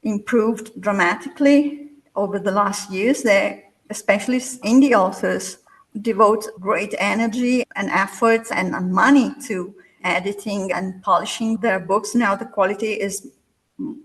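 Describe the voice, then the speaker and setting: A woman, a literary translator answering interview questions in a slow, measured way with pauses. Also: mid-range voice, a bit bright, poor audio.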